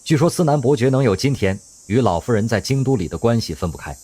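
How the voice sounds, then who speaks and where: A man narrating a radio drama in scripted storytelling style, expressive and lively in delivery. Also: medium pitch, fast, breathy edge.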